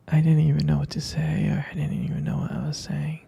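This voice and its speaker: A doting, softly teasing boyfriend, acted by a man in an intimate ASMR roleplay. He half-whispers in a very dark, mellow voice that crackles with heavy fry, speaking slowly and tenderly with gentle hesitations. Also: on the high side, dry recording, muffled recording, heavily acted. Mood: fond, nostalgic. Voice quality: breathy, whispery, gravelly. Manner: slow, tender, hesitant murmuring with pet names.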